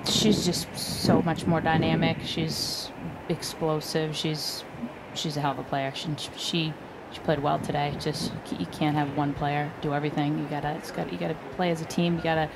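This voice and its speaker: A woman's voice: deep voice, noisy background. A woman head coach giving a frank postgame interview outdoors, blunt and repeating herself.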